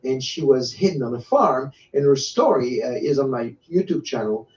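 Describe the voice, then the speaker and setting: A man's voice: medium pitch, expressive, darkish tone. French-accented man in his sixties, faltering. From France. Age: 60s. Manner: halting, with many repeated words and restarts. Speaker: guest. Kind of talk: personal testimony in an interview. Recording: echoey, distant, dull lo-fi sound.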